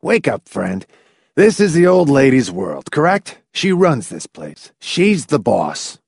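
A man's voice: on the high side, big pitch swings, slow pace, gravelly, a little mellow, breathy edge, no echo, clean studio sound, pitched above the performer's own voice, full character voice. A man voicing a crafty, easygoing, beer-loving pal in an audiobook, with a chummy manner. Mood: philosophical, knowing.

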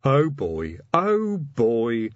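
A man voicing a big, gruff, loyal troll police sergeant with rumbling, blunt and ungrammatical speech in a storybook. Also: huge pitch swings, extremely slow, no room sound, dull audio, exaggerated voice. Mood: gloating.

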